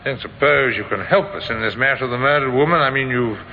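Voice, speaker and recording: An actor playing a courteous, probing police inspector in an old radio drama, with hesitant, polite questioning. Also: male voice, medium pitch, quick pace, close to an everyday voice. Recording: tinny, noisy old radio recording.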